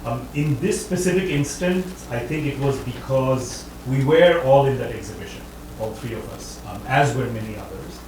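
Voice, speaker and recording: A man's voice: mid-range voice, normal speed. Thoughtful man in an echoey lecture room. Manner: thoughtful, hedging, self-correcting. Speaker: author answering audience questions. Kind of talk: academic talk Q&A answer. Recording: echoey room, distant mic, background noise.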